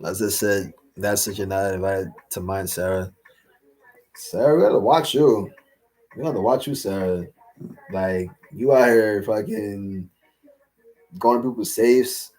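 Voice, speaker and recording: A young man hosting a casual livestream Q&A, rambling with lots of fillers and slang, his voice a little raspy from talking all day. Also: lowish voice, unhurried, darkish tone. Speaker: livestream host answering chat.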